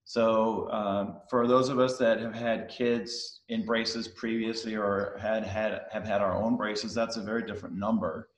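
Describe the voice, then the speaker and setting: A man's voice: lowish voice, flat delivery. Danish-born middle-aged man on a video call. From Denmark. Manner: casual, halting, many fillers and restarts. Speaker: guest speaker. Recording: roomy echo on a video call.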